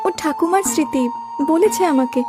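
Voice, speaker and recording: A woman's voice: very high-pitched, normal speed, over music. A woman playing a trusting, easily frightened young bride in a cartoon story. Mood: happy, sweet. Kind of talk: animated cartoon story dialogue.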